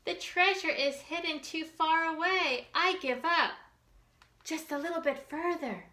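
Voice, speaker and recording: A woman voicing eager young children on a treasure hunt in a picture book, excitable, expressive and full of questions. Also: very high voice, measured pace, pitched above the performer's own voice, full character voice. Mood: doubtful, earnest.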